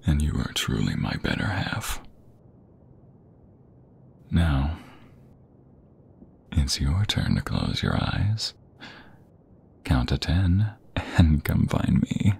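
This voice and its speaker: A man playing an affectionate, flirty husband in an intimate ASMR roleplay, with a breathy, husky, gravelly voice that often drops to a half-whisper. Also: rumbling low, very animated, slow, long pauses, darkish tone, a little hiss or hum, exaggerated voice. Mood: adoring.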